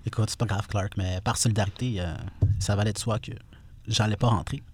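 A young man with a Quebec French accent, talking casually on radio with relaxed fillers. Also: fairly low pitch, fairly quick, husky. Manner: relaxed, frequent "euh" fillers. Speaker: guest co-host.

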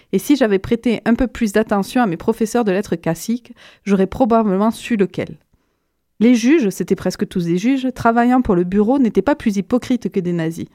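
A woman, her voice mellow and warm. She speaks close to a studio microphone in a dry room with no echo. She reads in a steady, measured way and chats easily about books. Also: medium pitch. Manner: measured, steady reading; chatty with fillers when talking. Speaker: guest book columnist. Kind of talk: literary reading and casual radio chat. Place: radio studio.

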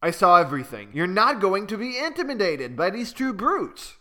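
A man's voice: high voice, very animated, moderate pace, pushed and bright, pitched above the performer's own voice, heavily acted. A man voicing a small, brave, clever male weaver bird who talks eagerly and firmly. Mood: encouraging. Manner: eager, encouraging and firm. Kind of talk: storybook reading.

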